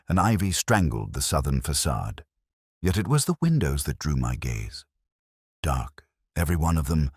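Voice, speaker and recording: Very deep-voiced male storyteller. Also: no echo, studio quality. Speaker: audiobook narrator. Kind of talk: scripted literary narration of a detective story.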